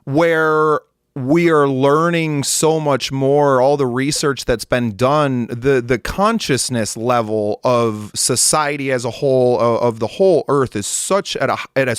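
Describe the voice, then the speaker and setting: A man's voice: medium pitch, fairly bright tone, clean tone, no echo, clean studio sound. A man hosting a podcast interview, talking in a thoughtful, conversational way. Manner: conversational, thoughtful, many fillers and restarts. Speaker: podcast host.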